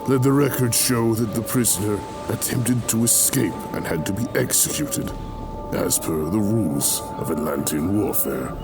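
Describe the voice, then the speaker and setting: A man playing a cruel Atlantean warlord who is scornful of humans, with a menacing, deliberate, gravelly snarl. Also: fairly low pitch, lively intonation, over music. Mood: contemptuous.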